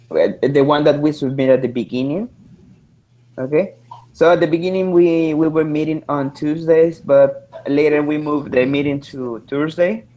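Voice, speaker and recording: A man's voice: on the high side, a little mellow, muffled recording. A man, presenting to an online study group, hesitant and full of filler sounds. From Mexico. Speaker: student presenter. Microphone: video call.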